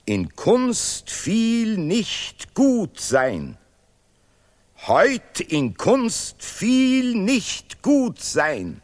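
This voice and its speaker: Radio-play role: pompous zealot of language and fatherland, extremely animated, declamatory, ungrammatical, repetitive. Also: high voice, very slow, full character voice. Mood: grave, pompous. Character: pompous Nobel laureate artist, zealous patriot of language and fatherland. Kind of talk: experimental radio play dialogue in broken, chanted German.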